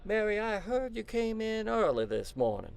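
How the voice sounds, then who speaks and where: A man's voice: high-pitched, very animated, normal speed, vocal fry, a little hiss or hum, exaggerated voice. A man playing a controlling, evasive doctor in charge of an asylum, authoritative and dismissive, in an audio drama. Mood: stern.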